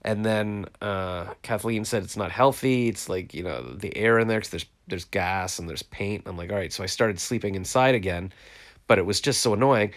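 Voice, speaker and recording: A man's voice: on the low side, moderate pace. A congested man chatting casually to viewers on a phone live stream, frustrated and rambling. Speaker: vlogger hosting a live stream. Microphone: phone, live stream from home.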